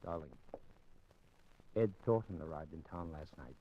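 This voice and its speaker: An American actor playing an ardent, guilt-ridden lover in a 1950s radio drama, speaking in an urgent, halting and earnest way. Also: male voice, a bass voice. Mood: pleading. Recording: dull, noisy 1950s radio recording.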